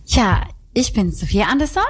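A woman's voice: medium pitch, lively intonation, normal speed, breathy edge. A woman from Sweden with a Swedish accent, chatting as a musician guest in a radio interview, giggly and full of fillers. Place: radio studio.

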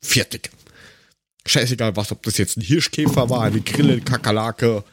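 A man co-hosting a podcast in casual, joking chat, rambling and haltingly searching for words with a dry sarcastic streak. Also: mid-range voice, heavy background noise. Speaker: podcast co-host.

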